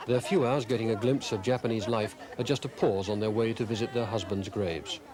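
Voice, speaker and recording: A male correspondent narrates in a calm, descriptive manner with a fairly low voice. Faint street noise and a distant crowd sit behind him. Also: brisk. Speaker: news correspondent. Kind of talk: scripted news report.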